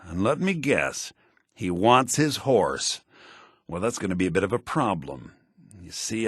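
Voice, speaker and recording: A man's voice: medium pitch, gravelly, a bit bright, breathy edge, no room sound, studio quality, heavily acted. An actor playing a sly, evasive rich man's son in jail in a fantasy video game, wry and slippery as he bargains.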